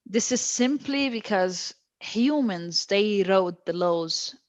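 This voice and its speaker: A woman's voice: mid-range voice, expressive, slow, dry recording. A woman, a guest who answers with emphatic, repeated phrases. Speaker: guest, stateless-rights advocate. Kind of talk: earnest interview answers.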